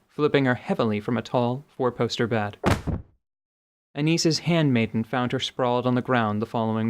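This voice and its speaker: Man narrating fantasy over clear background ambience. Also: medium pitch, noisy background. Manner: measured, literary, deliberate phrasing. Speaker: narrator of an audio drama. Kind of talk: scripted dramatic fantasy narration.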